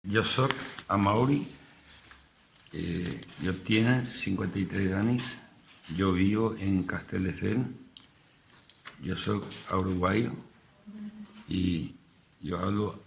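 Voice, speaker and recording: A man from Brazil, a Portuguese speaker introducing himself haltingly as a language student. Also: sits low, low-quality recording. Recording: clear background noise with clicks.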